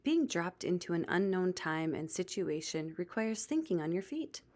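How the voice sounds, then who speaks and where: A woman's voice: fairly low pitch, big pitch swings, moderate pace, darkish tone. A woman voicing a kind male mentor in a children's storybook, teaching in an encouraging, instructive way. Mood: earnest.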